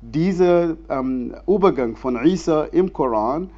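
A man's voice: a little high, lively intonation, unhurried. Man, muffled church interview. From Sudan. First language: Arabic. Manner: earnest, many hesitations, non-native grammar, laughs easily. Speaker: guest speaker, theologian. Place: church hall.

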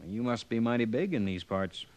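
A man's voice: medium pitch, lively intonation, normal speed, close to an everyday voice. A man playing a weary, plain-spoken drifter cowboy in an old-time radio western, with a folksy, laid-back manner. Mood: relieved, easygoing.

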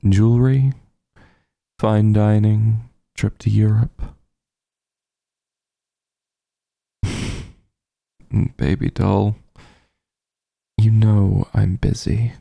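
A man voicing a possessive, teasing vampire mafia heir in an intimate ASMR roleplay, husky and gravelly, with a condescending drawl. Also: fairly low pitch, little pitch movement, extremely slow, pauses often, dark tone, no echo, exaggerated voice. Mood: indulgent teasing. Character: possessive, teasing male vampire mafia heir, coolly menacing yet doting.

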